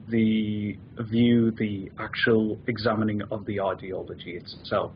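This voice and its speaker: A man calling in to a discussion show, explaining his views with many pauses and restarts. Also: lowish voice, muffled recording, slight background noise. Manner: hesitant, many fillers and restarts. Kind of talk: call-in discussion.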